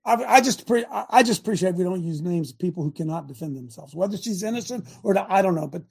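Gravelly high-voiced male talk host. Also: animated, fast talker, a little mellow. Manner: emphatic, exclaiming, directs the producer. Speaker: host of a live talk stream. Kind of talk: casual live-stream talk show.

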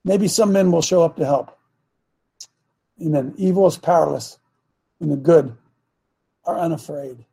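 A raspy older man holds forth as a talk show host, rambling and preaching between throat clears. His voice sits high for a man but sounds dark and husky, with a gravelly, phlegmy edge. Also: unhurried, pauses often, poor audio. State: phlegmy, coughing. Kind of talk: casual political commentary.

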